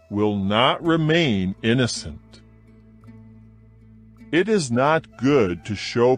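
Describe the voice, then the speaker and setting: A man reads scripture at a very slow, deliberate pace, each line weighed and solemn. His pitch rises and falls in a lively, expressive way. Soft music plays faintly beneath his voice. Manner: slow, measured, solemn delivery. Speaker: scripture reader. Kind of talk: dramatized Bible reading. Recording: soft music bed behind voice. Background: faint background music.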